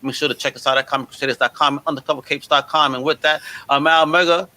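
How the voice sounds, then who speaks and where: A man's voice: on the high side, fairly even intonation, fast, bright, forceful tone. A man hosting a casual video podcast, talking in an upbeat, slangy and effusive way. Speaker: podcast host.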